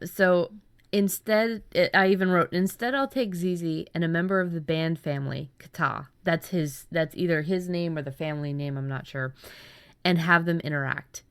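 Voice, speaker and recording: A woman podcast host chatting casually about writing, telling things in an animated way with chuckles. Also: lowish voice, clear, resonant tone, dry recording. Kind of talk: casual chat about story ideas.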